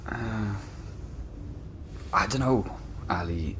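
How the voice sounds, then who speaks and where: A man's voice: fairly low pitch, very slow, a bit bright, slight background noise. An actor playing a down-to-earth, skeptical man who talks in a wry, hesitant way in a naturalistic audio drama.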